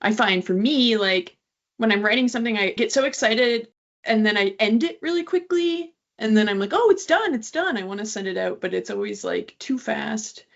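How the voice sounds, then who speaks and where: A woman's voice: on the high side, expressive, moderate pace, vocal fry. A woman chatting informally, thinking aloud in a casual discussion. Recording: dull, lo-fi audio.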